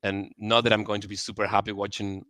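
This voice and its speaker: A man's voice: fairly low pitch, level tone, fast, a bit bright, dry recording, clean studio sound. A man speaking as a podcast guest in casual chat, self-deprecating and laughing often.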